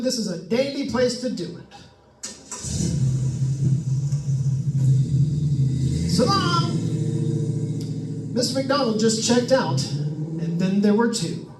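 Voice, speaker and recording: A man's voice: high voice, a little hiss or hum. A man playing a jokey, chatty radio host on a ghost hunt in old-time radio drama style, with folksy asides to listeners. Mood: jokey, jittery. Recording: echoey room, distant microphone.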